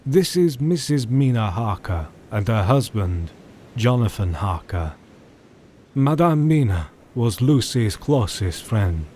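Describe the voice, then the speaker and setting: A solemn older doctor who mentors others in a gothic audio drama. He speaks slowly and formally, with theatrical rises and falls in pitch. A faint rumble sits under the voice. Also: sing-song, mellow. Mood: grave, courteous. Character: grave older male doctor and mentor, solemn vampire hunter.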